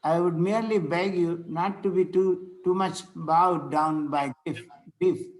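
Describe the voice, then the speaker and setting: A man playing a courteous, solemn Victorian clergyman in a play read-through, speaking formally and a little haltingly. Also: fairly high pitch, fairly even intonation, natural voice, poor audio. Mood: consoling. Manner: formal, preachy, halting as he reads. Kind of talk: amateur play table read.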